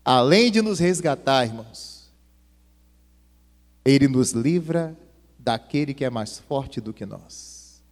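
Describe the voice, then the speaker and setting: A man's voice: mid-range voice, animated, slow, pauses often. Impassioned preaching man, hall reverb. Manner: impassioned preaching, voice swelling and dropping. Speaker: pastor. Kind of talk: sermon.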